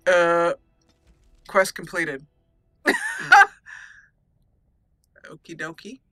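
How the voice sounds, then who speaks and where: A woman's voice: fairly low pitch, steady tone, extremely slow, fairly bright tone, dry recording, clean studio sound, soft background music. A woman giving chatty, giggly let's play roleplay commentary, slipping into reading lines in character. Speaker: gaming channel host.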